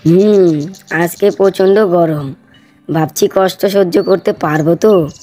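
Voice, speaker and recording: Woman playing a mellow, sincere young boy. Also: on the low side, slow pace. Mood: weary, doubtful. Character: young boy, thirsty, wavering but repentant. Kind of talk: moral cartoon dialogue. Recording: faint background music.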